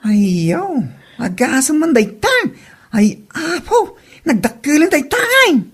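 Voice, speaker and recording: A woman's voice: high-pitched, sing-song, slow pace, full character voice. A woman with an Ilocano Filipino accent playing a nosy, blunt gossiping friend in a comedy audio drama. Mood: egging on.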